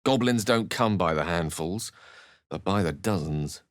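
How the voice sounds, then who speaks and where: Low-voiced, eloquent wizard. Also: male voice, animated, unhurried, no room sound, studio quality. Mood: earnest, genial. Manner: articulate, erudite, theatrical. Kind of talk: video game character acting and narration.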